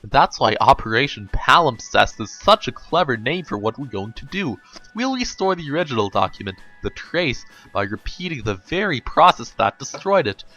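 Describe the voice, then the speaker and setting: Man voicing an earnest lecturing girl, animated. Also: medium pitch, moderate pace, dull audio, soft background music, full character voice.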